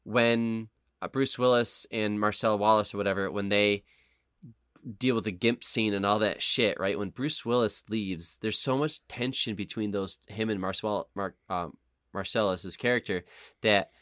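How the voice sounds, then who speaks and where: Low-voiced man chatting emphatically on a podcast. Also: fairly even intonation, measured pace, a bit bright, clear, resonant tone, no room sound, studio quality. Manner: emphatic, profane, rambling. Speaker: podcast co-host. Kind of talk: casual chat, opinionated film and game discussion.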